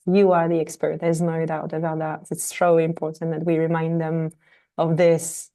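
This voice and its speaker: A woman host with a mellow, warm tone and a rather flat, even pitch leads the conversation. She affirms her guest readily and often pauses to find her words. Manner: enthusiastic, affirming, searching for words. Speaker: podcast host, fertility coach. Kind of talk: conversational interview.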